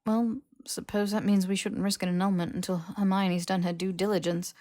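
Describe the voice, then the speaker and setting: A woman voicing a brash, easily exasperated young man in an audiobook, casual, halting and sarcastic. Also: mid-range voice, level tone, warm tone, lightly acted. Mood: thoughtful.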